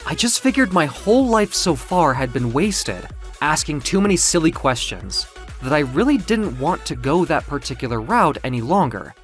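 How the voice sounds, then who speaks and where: A man narrating first-person audio fiction in a theatrical, expressive, confiding way. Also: on the high side, normal speed. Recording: music under the voice. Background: music.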